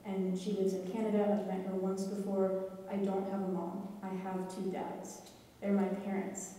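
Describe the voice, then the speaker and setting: A woman's voice: mid-range voice, completely flat delivery, normal speed, mellow. A teenage girl on a student panel speaking directly and matter-of-factly. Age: teens. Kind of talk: personal testimony on a panel. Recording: echoey hall, far from the mic, faint background noise.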